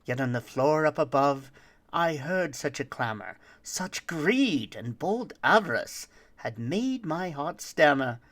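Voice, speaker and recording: A performer voicing a boastful, roguish thief who tells a rhyming holiday tale with dramatic, deliberate delivery. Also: mid-range voice, expressive, fairly bright tone. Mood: sly, excited. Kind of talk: theatrical rhymed storytelling.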